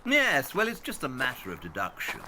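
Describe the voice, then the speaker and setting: An actor playing a brilliant, impatient male detective with a British accent, speaking in a brisk, condescending way in an audio drama. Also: mid-range voice, huge pitch swings, pushed and bright, a little hiss or hum, full character voice. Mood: cool.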